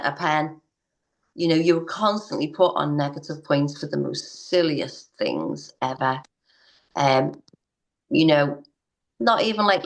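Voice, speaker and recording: Low-pitched woman guest, heartfelt and halting. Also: poor audio. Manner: earnest, hesitant, many restarts. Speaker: interview guest, parent. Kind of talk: personal story in interview.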